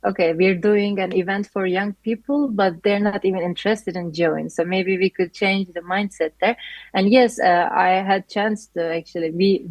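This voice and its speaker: A young woman in her twenties from Turkey, a native Turkish speaker, talks through interview answers in a steady middle pitch. She speaks earnestly and leans on "like" as a filler. The recording is dry and close, with no room sound. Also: no room sound, rough recording. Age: 20s. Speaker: interviewed master's student.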